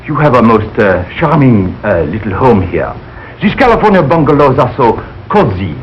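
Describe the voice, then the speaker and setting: Casting: man playing suave, effusive French professor, French accent, very animated pitch, muffled vintage radio sound. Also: normal speed, natural voice. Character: charming, flattering, cultured French professor. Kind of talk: 1940s radio sitcom acting. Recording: muffled, noisy old radio recording.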